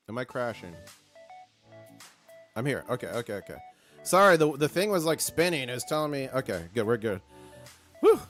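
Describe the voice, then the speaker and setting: A man hosting a casual live stream with a hoarse voice, chatty and excitable, reading viewer comments aloud. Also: medium pitch.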